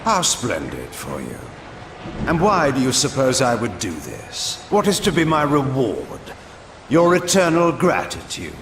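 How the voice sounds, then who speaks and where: An actor voicing a proud, sardonic male vampire warlord in a video game, cold and imperious, with a theatrical, deliberate delivery. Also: medium pitch, huge pitch swings, reverberant, background noise. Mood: scornful. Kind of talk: scripted video game cutscene acting.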